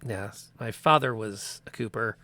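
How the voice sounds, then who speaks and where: A man voicing a wary, weary woman who keeps a rented room, speaking slowly and reluctantly with sighs. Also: lowish voice, sharp tone, no echo. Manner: slow, reluctant, sighing. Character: wary, weary adult woman landlady in silk robe.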